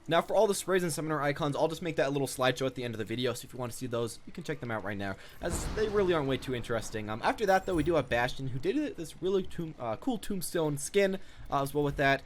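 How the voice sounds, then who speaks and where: A young man hosts a gaming video in a fairly high, very lively voice that moves along briskly. His commentary is casual and rambling, as if made up on the spot. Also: slight background noise.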